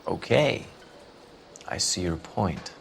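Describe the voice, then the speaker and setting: Deep-voiced haughty radical writer. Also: male voice, animated, takes it slowly, creaky, breathy edge, full character voice. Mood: guarded. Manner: condescending, guarded. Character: haughty, evasive male starving writer and labor radical. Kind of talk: 1940s crime game dialogue acting.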